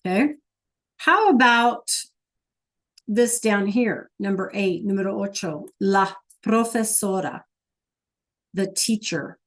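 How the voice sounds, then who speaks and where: A woman teaching a video class at a slow, unhurried pace, keeping to a middling pitch. She stops often to check in with her students, patient and steady. Her voice comes through a computer mic. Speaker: Spanish teacher.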